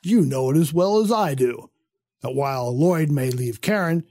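A man acting sardonic male critic, dark soft tone, arch and condescending, coolly menacing, audio drama, dry sound. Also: on the high side, animated, normal speed, no room sound. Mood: cold, commanding. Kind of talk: audio drama acting with first-person narration.

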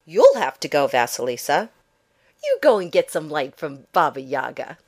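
A woman's voice: deep voice, sing-song, moderate pace, exaggerated voice. A woman playing a smug, manipulative young stepsister with a mock-innocent, bossy manner in a fairy tale audio drama.

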